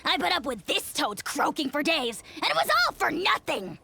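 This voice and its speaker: A woman's voice: sits high, huge pitch swings, normal speed, creaky, bright, forceful tone, a little hiss or hum, full character voice. A woman voicing a small, pompous, bad-tempered dragon lord in a video game, haughty and bombastic. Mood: haughty, scornful.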